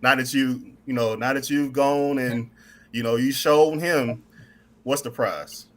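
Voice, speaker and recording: Earnest man co-hosting, mid pitch, halting delivery. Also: fairly even intonation. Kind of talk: casual chat.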